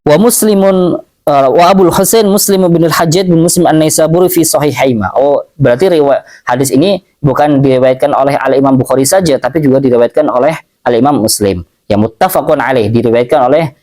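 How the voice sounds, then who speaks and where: A male Islamic teacher giving a religious study lecture, explaining slowly, repeating phrases and reading Arabic passages aloud. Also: on the high side, a little mellow.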